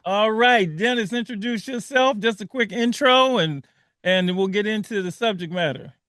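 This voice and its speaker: Male radio host, high and bright, casual and slangy, prone to laughing. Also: moderate pace, no echo, clean studio sound. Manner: laughs often, repeats words, street slang.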